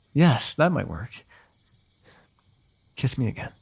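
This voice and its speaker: A man playing a lovestruck, eloquent fantasy royal in a romantic ASMR roleplay, talking intimately and poetically with gentle teasing. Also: medium pitch, big pitch swings, slow pace, vocal fry, breathy edge, full character voice. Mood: breathless, adoring. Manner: intimate, poetic, talkative, softly amused.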